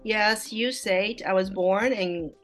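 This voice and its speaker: A woman's voice: mid-range voice, takes it slowly, fairly bright tone, soft background music. A middle-aged woman from Taiwan with a Taiwanese Mandarin accent, a native Mandarin speaker, chatting casually as a podcast guest, laughing often and correcting herself. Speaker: guest, Mandarin teacher and mother.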